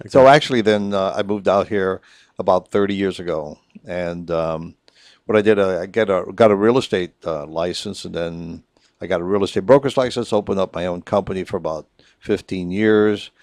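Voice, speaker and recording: Very deep-voiced affable middle-aged man. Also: a little mellow, no room sound, clean studio sound. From Puerto Rico. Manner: affable, agreeable, quick to chime in. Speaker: reverse mortgage consultant guest. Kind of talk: casual radio interview.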